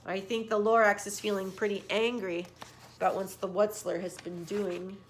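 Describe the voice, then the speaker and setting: A woman's voice: mid-range voice, level tone, moderate pace, slight background noise, low-quality recording. A woman reading a children's story expressively, with emphatic, teacherly phrasing aimed at young listeners.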